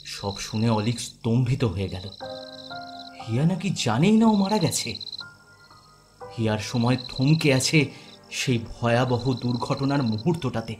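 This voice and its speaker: A man's voice: mid-range voice, animated, unhurried, pauses often. A man narrating a scripted horror audio story in a dramatic style. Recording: music bed behind.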